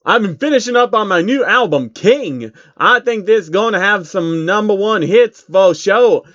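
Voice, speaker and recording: A man's voice: high voice, animated, unhurried, fairly bright tone, lo-fi sound, pitched above the performer's own voice. A man voicing a cocky, boastful male rapper talking in street slang.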